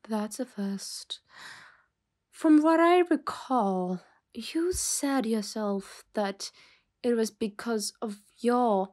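A woman playing a stern, weary boss in an ASMR roleplay, speaking in a measured way with sighs and hesitant pauses. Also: medium pitch, close to an everyday voice. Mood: cold, skeptical. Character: stern, weary female mafia boss scolding an employee. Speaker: ASMR voice actor.

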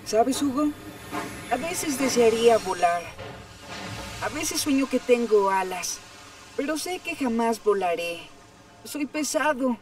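An actor voicing a gentle, wistful male engine in a cartoon dub, speaking softly. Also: very high-pitched, expressive, slow, pauses often, recorded from a distance, heavily acted.

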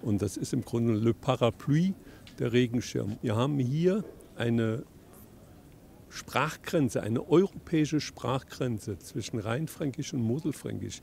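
A man in his sixties with a Saarland German accent speaks in a gravelly, mellow voice. He explains things in a matter-of-fact way on a town street, with faint street noise around him. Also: mid-range voice, lively intonation, unhurried. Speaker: local interviewee. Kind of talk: street interview.

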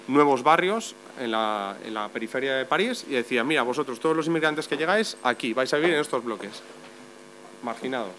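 A man's voice: mid-range voice, a bit bright, rough recording. A man speaking on a debate panel, explaining step by step and posing rhetorical questions. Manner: explanatory, builds points with lists and rhetorical questions. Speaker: journalist on a panel. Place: meeting room. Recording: faint mains hum.

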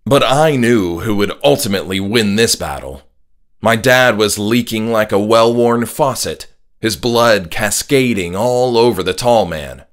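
A man's voice: fairly low pitch, a bit bright. A man narrating a horror audiobook as its author, in a dramatic scripted style. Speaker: author narrating his own horror story. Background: music.

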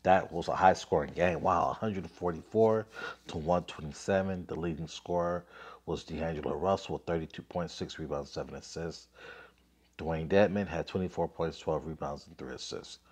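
A man's voice: low-pitched, moderate pace, a little mellow, husky. A man in his thirties with a cold, hosting a casual basketball podcast, reading off scores and ranting in an offhand way on a phone mic. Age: 30s. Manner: offhand, lots of fillers, reads scores aloud. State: getting over a cold, coughing. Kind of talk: casual sports recap and rant. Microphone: phone, selfie framing.

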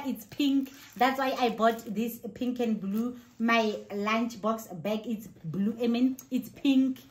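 A woman in her thirties with a South African accent, chatting casually and expressively as a vlogger and switching between languages. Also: on the high side. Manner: excited, expressive. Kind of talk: casual vlog chat mixing in another language. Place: living room and kitchen at home.

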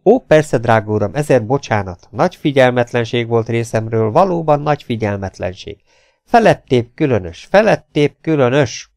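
A man's voice: mid-range voice, animated, creaky, a little mellow, dry recording, clean studio sound. A man voicing a meek, absent-minded old professor in an audiobook story, fussily polite and repeating himself in a mild mutter. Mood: flustered, polite.

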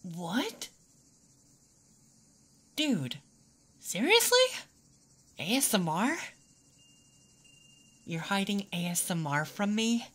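Slow, teasing tomboy played by a woman. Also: mid-range voice, a bit bright. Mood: surprised, amused. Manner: teasing, drawling, slipping into whispers. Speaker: ASMR roleplay performer. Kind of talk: ASMR roleplay, intimate second-person acting.

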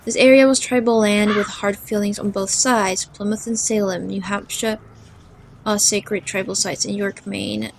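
A woman reading out the lines of a gruff frontier man in her own plain voice. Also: mid-range voice, moderate pace, a little hiss or hum, lightly acted. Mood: dismissive. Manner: read flatly in her own voice.

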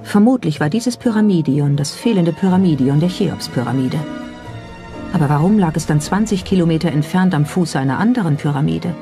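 A narrator reads a scripted documentary in a mid-pitched voice. The delivery is measured and builds suspense like a storyteller. Music plays clearly behind the voice. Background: background music.

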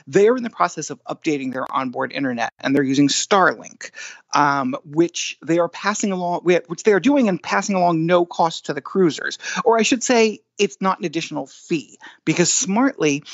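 Male podcast co-host, chatty and self-deprecating, gravelly fry, slightly dull dry recording. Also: a little high, dry recording. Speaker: podcast co-host giving news. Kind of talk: casual news chat.

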